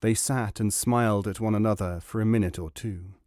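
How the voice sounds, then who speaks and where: A man narrating a novel as an audiobook, reading in a measured, even way. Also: lowish voice, dry recording, studio quality.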